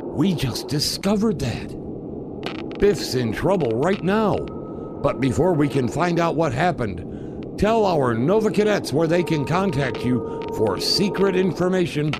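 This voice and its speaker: Male announcer for retro radio serial, dramatic and animated, unhurried, noisy background. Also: fairly high pitch. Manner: dramatic, theatrical. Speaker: announcer and narrator. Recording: clear background noise under voice.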